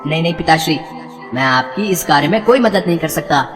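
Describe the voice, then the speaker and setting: An earnest boy god acted by a woman speaks politely in a slightly low voice. He is a principled young son from a devotional tale, heard in long reverb over music. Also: expressive, brisk. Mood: earnest, refusing. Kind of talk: animated devotional story dialogue.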